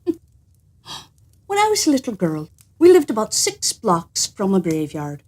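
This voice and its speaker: Woman hosting story time, dramatic and suspenseful, medium pitched voice. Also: wildly swooping pitch, a little mellow. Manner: theatrical, suspenseful, deliberate. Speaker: storyteller and host. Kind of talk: animated scripted storytelling.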